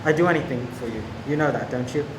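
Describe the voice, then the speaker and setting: A man's voice: mid-range voice, fast, lightly acted. Man playing an earnest detective, echoey room. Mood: tender, regretful. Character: earnest, restrained young male police detective. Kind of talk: radio play acting. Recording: echoey room with clear background hum.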